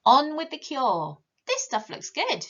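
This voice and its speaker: Lady doing a boastful bossy little princess for a storybook, swoopy high voice, kinda muffled audio. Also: wildly swooping pitch, unhurried, exaggerated voice. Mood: bossy, breezy. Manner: breezy, emphatic, haughty. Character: boastful, bossy young princess girl telling tall tales.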